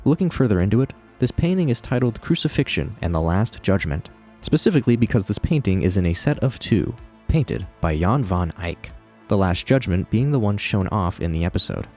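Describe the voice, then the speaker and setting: A man's voice: fairly low pitch, big pitch swings, brisk, darkish tone, soft background music. A man hosting a video essay, narrating in a conversational commentary style with wry asides.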